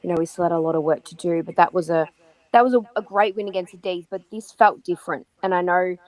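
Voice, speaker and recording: An Australian woman co-hosting a podcast, her voice croaky from yelling, chatting enthusiastically with frequent ums and restarts. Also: fairly low pitch, fast talker, no room sound, poor audio. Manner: enthusiastic, many fillers and restarts. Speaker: podcast co-host. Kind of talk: casual chat about football.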